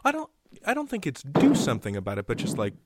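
A man's voice: mid-range voice, huge pitch swings, quick pace, very noisy. A man asking questions conversationally as an interview host.

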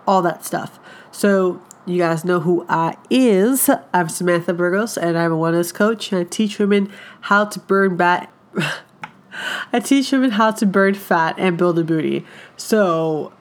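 A woman's voice: lowish voice, unhurried. A young woman, a wellness coach, talking casually on her podcast with a stuffed-up nasal voice from a cold, full of filler words. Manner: chatty, lots of filler words.

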